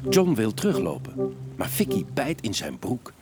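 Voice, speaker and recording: A man's voice: medium pitch, huge pitch swings, normal speed. A man narrating a children's audio story in a dramatic, suspenseful, scripted style. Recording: music bed behind the narration.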